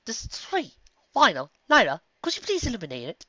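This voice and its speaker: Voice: mid-range voice, huge pitch swings, normal speed, fairly bright tone, no echo, muffled recording, voice pushed up. A performer voicing a prim, serious, educated female pony musician who speaks formally and precisely in a story reading. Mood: intent.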